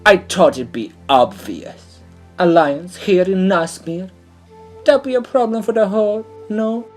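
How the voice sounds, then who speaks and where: A woman's voice: mid-range voice, expressive, measured pace, darkish tone, soft background music, close to an everyday voice. A woman playing a cruel, taunting female troll war matron in a theatrical fantasy audio drama. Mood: sly taunting.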